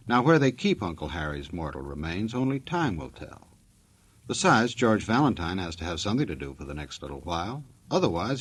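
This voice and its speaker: A man's voice: deep voice, husky. An American man working as a 1940s radio announcer, narrating in a folksy, tongue-in-cheek, wisecracking way.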